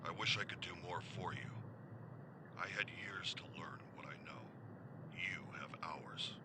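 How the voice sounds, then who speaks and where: An actor playing a grave mortician in a video game, instructing solemnly and deliberately about dark dangers. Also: male voice, low voice, very noisy. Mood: grave, regretful. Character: grave older male mortician warning about a demon. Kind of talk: scripted game voice acting.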